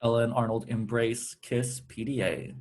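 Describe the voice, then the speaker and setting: A man's voice: mid-range voice, steady tone, normal speed, a little mellow. A man reading stage directions as scripted narration at a table read.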